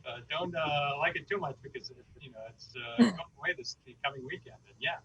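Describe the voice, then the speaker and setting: Halting man, distant and noisy call audio. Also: on the high side, sing-song, vocal fry, dull audio. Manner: halting, stammering over words. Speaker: club member on a video call. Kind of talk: casual chat.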